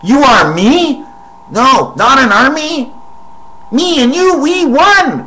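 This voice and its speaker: A man puts on a shrill little voice for an imaginary companion, leaping well above his own pitch. The companion is contrary and argumentative, snapping back in short, jumpy bursts. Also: expressive, takes it slowly, sharp tone, a little hiss or hum, heavily acted, rough recording. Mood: bickering.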